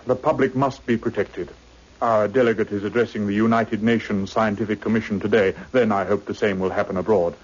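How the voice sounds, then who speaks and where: An actor playing a self-assured, cautious British government official with a clipped, weighty manner in a radio drama. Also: male voice, fairly low pitch, steady tone, a little fast, husky. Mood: brisk. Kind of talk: old radio drama acting. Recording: muffled old-time radio recording.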